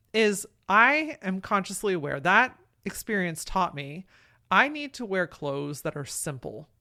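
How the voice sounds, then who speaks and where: A woman hosting a podcast, talking frankly and encouragingly in a casual way with a deep voice. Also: fairly bright tone. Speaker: podcast host. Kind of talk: solo podcast talk, motivational.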